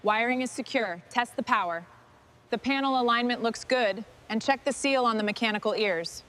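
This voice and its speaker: A woman leading a restoration crew, giving calm, clipped instructions in scripted workshop dialogue. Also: a little high, moderate pace.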